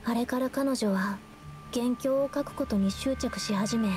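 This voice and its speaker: Woman voicing young anime game heroine, high voice, timid yet principled, polite, soft, music behind. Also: measured pace, lightly acted. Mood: guilty, hesitant. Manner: soft-spoken, polite, earnest. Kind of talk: anime-style game voice acting.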